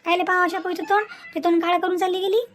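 A woman acts out a scheming young daughter-in-law in a cartoon family drama. The voice is very high, with a Vidarbha Marathi accent, pushing and cajoling with little questioning grunts. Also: little pitch movement, racing, a bit bright, slight background noise. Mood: scheming, insistent. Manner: insistent, wheedling, punctuated with questioning grunts.

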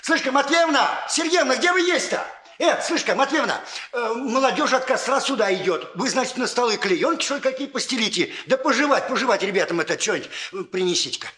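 A man's voice: very high voice, lively intonation, fairly quick, sharp tone, exaggerated voice. An actor playing a bustling, good-natured old father in a village, with folksy, fussing speech, in a 1960s Soviet radio drama.

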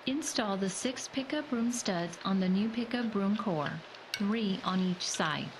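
A woman reading scripted step-by-step repair instructions as a voice-over, in a measured, even way. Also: medium pitch, background noise. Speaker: instructional narrator.

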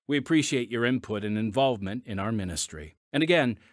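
Folksy guy hosting a podcast, lively up and down delivery, dry studio sound, bit of music. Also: mid-range voice, fast talker, dry recording. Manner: folksy, addresses listeners directly. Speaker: podcast host and announcer. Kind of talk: scripted promotional narration.

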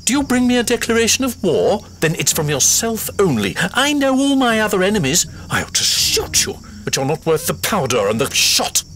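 A sneering, gloating trader. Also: male voice, high-pitched, wildly swooping pitch, moderate pace, a bit bright, slight background noise, heavily acted. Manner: sarcastic, mocking. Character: sneering, gloating colonial trader, a grown man. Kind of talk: radio play acting.

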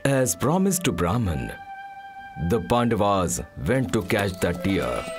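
A man's voice: on the low side, sing-song, slow pace, music in the background. A man narrating a mythological story in a scripted storytelling style.

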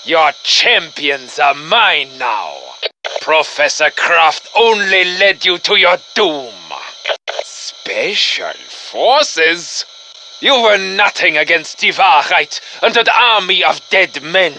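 Game villain: German-accented Nazi commander of the undead, piercingly bright and forceful, slow and gloating, male. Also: fairly high pitch, big pitch swings, a little hiss or hum, heavily acted, rough recording. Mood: gloating contempt. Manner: menacing, pompous, gloating. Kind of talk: theatrical video game villain acting.

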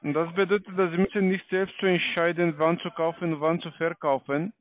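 A man's voice: a little high, flat delivery, a little fast, no room sound. A man with a foreign accent and non-native German, working through a stilted, formal sales script as a call-centre agent. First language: not German. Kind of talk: scripted phone sales pitch. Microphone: telephone. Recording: lo-fi muffled phone call.

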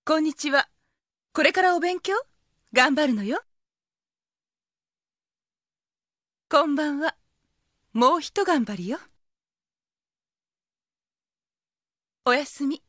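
A woman playing a proud, commanding high school girl, a caring eldest sister, in a Japanese anime audio drama. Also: expressive, normal speed, a bit bright, no echo, clean studio sound. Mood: proud, aloof. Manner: commanding, self-assured, scolding.

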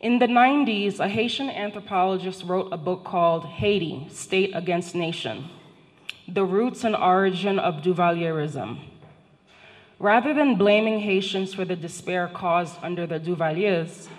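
A woman's voice: on the low side, normal speed. A middle-aged woman whose first language is not English, giving a formal prepared lecture from a panel table into a handheld microphone, speaking directly to the audience. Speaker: guest speaker, professor. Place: large indoor function hall, seated at a panel table. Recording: long hall reverb.

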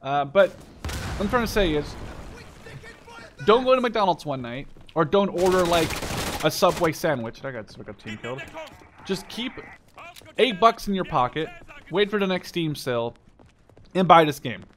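Higher-voiced young man over game gunfire. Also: animated, moderate pace, pauses often, noisy background. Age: 20s. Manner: opinionated, profane, emphatic. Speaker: gaming video host. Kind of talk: casual, rambling gameplay commentary. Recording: game gunfire and clicks behind voice. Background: video game gunfire, clicks.

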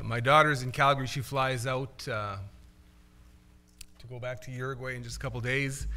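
A man's voice: medium pitch, bright, forceful tone. A middle-aged man giving a casual missionary report from a church pulpit, talking conversationally with frequent filler sounds. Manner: conversational, many "uh" fillers, gestures with hands. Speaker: missionary speaker. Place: church sanctuary at a wooden pulpit. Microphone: pulpit microphone, far camera.

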